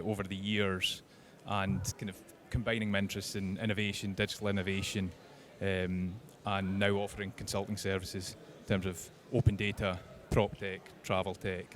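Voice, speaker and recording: A man with a West Coast Scottish accent introducing himself as a panelist, repeating words as he goes. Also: low voice. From west coast of Scotland. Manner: stammering repetitions, measured. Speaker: panelist, consultant.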